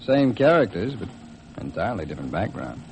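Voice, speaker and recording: A man's voice: on the low side, big pitch swings, brisk, creaky, loud music behind the voice. An actor playing an easygoing, chatty American man aboard a boat in a 1950s radio drama. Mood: amused. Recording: noisy vintage 1950s radio recording.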